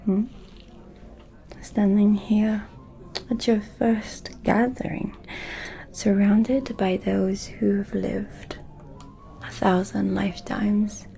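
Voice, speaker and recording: An ancient, aristocratic vampire countess, played by a woman, speaks in a breathy ASMR whisper. Her delivery is very slow, probing and deliberate. The tone is dark and velvety, with elegant menace and faint music behind. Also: mid-range voice. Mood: teasing, subtly menacing.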